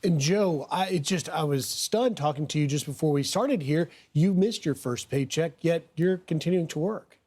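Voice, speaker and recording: A man with a slightly high voice works as a news host. He speaks in a broadcast interview style, setting up guests and asking them questions. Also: moderate pace, few pauses, darkish tone.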